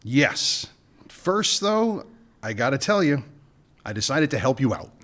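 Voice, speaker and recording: A man acting a smooth-talking gun runner in a radio play, wry and bargaining, with dry jokes. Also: medium pitch, big pitch swings, measured pace, a bit bright. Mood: scheming.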